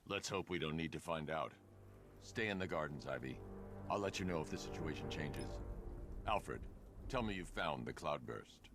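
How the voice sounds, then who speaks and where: An actor playing a grim, stoic vigilante detective in a video game, terse, deadpan and commanding. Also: male voice, very deep voice, normal speed, music in the background. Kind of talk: video game cinematic acting.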